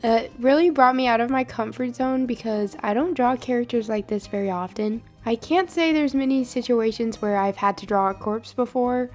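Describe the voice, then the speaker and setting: A young woman, hoarse from a sinus infection, chatting upbeat in a voiceover about her drawing. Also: on the high side, moderate pace, hardly any pauses, faint music behind. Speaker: art channel host. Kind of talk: voiceover over drawing footage.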